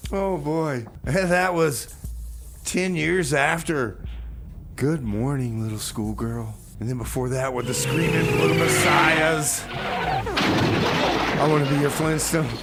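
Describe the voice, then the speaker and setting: A man's voice: fairly high pitch, unhurried, fairly bright tone. A man hosting a community radio music show, casually back-announcing songs and playing along with comedy bits. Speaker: radio host and DJ.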